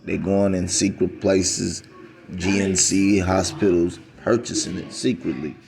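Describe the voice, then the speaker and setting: A man's voice: low-pitched, steady tone, unhurried, gravelly, a crowd in the background. A man talking straight to camera in a rambling, insistent monologue, repeating himself for emphasis. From United States. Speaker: vlogger.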